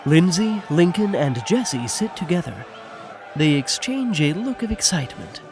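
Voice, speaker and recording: A man's voice: a little high, big pitch swings, measured pace, crowd noise. A man narrating the action of a scripted audio drama.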